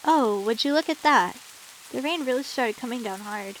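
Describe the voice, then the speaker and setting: Woman acting a high-voiced, teasing, doting anime champion. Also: animated, normal speed, a little hiss or hum, natural voice, low-quality recording. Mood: coy, doting.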